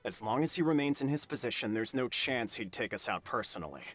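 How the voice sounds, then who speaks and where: A man's voice: mid-range voice, expressive, fairly quick, a bit bright. A man voicing a confident, scheming political villain in a video game, sneering and dismissive. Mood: smug, scheming.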